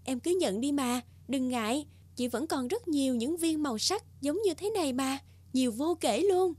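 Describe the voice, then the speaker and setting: A woman voicing a gentle, generous young fairy in an animated fairy tale, caring and reassuring like a big sister. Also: high voice, moderate pace, dry recording.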